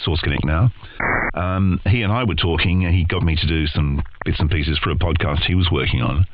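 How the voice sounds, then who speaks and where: Very deep Australian man, noisy radio sound. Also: fairly quick. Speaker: interview guest, podcast co-host in voiceover and audio. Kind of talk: casual conversational interview. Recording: dull lo-fi radio audio, loud noise, clicks.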